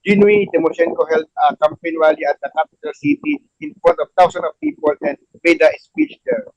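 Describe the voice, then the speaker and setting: Male host and stage-direction reader, fairly high voice, dry close sound, halting phrasing. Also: sing-song, darkish tone, dry recording, dull audio. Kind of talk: hosting and narrating a play table read.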